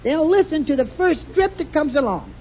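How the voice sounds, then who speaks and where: An actor playing a flustered, bumbling young husband and father who stammers and rushes to please, in a 1950s radio sitcom. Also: very high-pitched, animated, a little hiss or hum. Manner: stammering, nervous, eager to please. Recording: muffled old radio broadcast with studio audience laughter.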